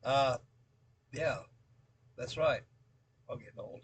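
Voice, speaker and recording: An American man in his late seventies with a husky voice, chatting casually as a hobby video host in a halting, rambling way, often repeating himself. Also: mid-range voice, slight background noise. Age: late 70s. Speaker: scale model builder and video host. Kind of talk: casual update chat with rambling storytelling.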